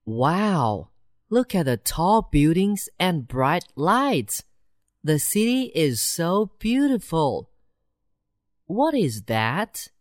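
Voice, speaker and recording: A woman's voice: on the low side, no echo, studio quality, full character voice. Wide-eyed country mouse, as a woman reads it. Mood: amazed. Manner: slow, wide-eyed, gently excited. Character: simple, cheerful country mouse. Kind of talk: children's storybook reading.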